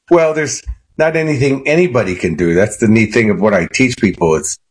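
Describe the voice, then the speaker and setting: Husky man with a Chicago accent. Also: medium pitch, normal speed. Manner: animated storyteller, frequent "you know" and restarts. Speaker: guest. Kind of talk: casual interview.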